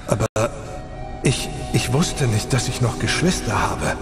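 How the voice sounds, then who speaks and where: A man's voice: medium pitch, very animated, a little slow. An actor playing a shaken, remorseful male space adventurer, hesitant and stammering, in a science fiction audio drama. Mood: bewildered. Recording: reverberant hall, music bed.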